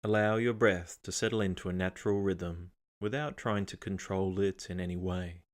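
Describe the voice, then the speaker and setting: Low-voiced man guiding a meditation. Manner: calm, measured, unhurried instruction with pauses. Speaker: meditation guide. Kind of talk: guided meditation narration.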